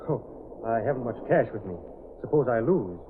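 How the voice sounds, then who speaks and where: An actor plays a mild, ingratiating con man in vintage radio drama. His mid-pitched voice is politely hesitant, on a narrow and noisy old recording. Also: lively intonation, normal speed, lightly acted. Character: hesitant, ingratiating male card sharp posing as stockbroker. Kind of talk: 1930s-40s radio drama acting.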